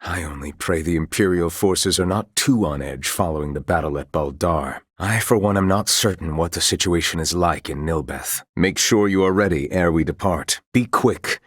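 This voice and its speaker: A man's voice: deep voice, moderate pace, hardly any pauses, breathy edge, dry recording, clean studio sound. A man playing a composed, proud knight commander of royal blood in a video game, speaking in formal, archaic, courtly phrases. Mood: composed, commanding.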